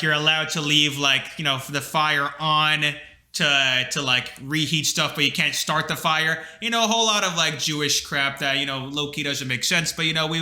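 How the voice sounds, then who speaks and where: Rambling, bright-voiced young man in an echoey room. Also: a little high. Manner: rambling, filler-heavy, animated. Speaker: podcast co-host. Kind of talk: casual chat.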